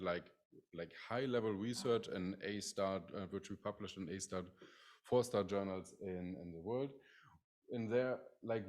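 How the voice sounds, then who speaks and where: Deep-voiced german guy giving a talk, keeps saying like, restarts a lot. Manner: many "like" fillers, restarts. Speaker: professor presenting his lab. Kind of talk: conference presentation.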